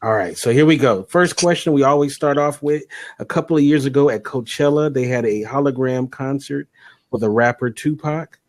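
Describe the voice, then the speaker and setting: A man co-hosting an interview show, running a rapid-fire question round with quick acknowledgements and frequent laughs. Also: medium pitch, no echo, studio quality. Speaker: show co-host.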